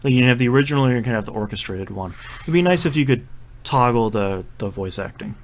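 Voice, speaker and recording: A man hosting a casual podcast about video games, chatting and running the segments with quick asides. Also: medium pitch, expressive, slight background noise. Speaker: podcast host. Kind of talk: casual chat.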